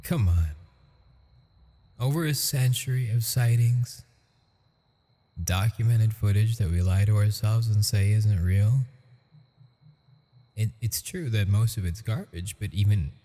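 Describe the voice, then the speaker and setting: A man voicing a gentle, teasing male ghost who speaks softly and intimately in an ASMR audio roleplay, chuckling often. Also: on the low side, unhurried, long pauses, slightly rough. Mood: tender.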